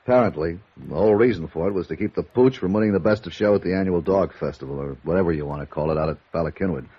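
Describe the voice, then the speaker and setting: An American actor playing a sceptical, blunt police homicide lieutenant in a 1950s radio drama. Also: male voice, low-pitched, fast talker, husky. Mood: businesslike. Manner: blunt, colloquial. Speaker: supporting actor in a radio detective serial. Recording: dull, muffled vintage radio recording.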